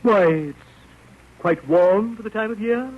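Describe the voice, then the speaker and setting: An actor playing a fussy, nervous, proper gentleman in 1930s radio drama style, with a prim, halting delivery and habitual throat-clearing. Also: sits high. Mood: polite, uneasy. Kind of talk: 1930s radio drama acting, first-person narration. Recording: muffled, noisy old radio broadcast.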